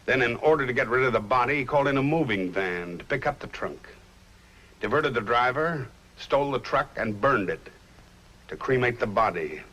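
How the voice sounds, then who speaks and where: An actor playing a calm, shrewd private detective in a 1950s film noir, with an unhurried, wry delivery. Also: male voice, medium pitch. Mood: matter-of-fact. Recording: noisy old film soundtrack.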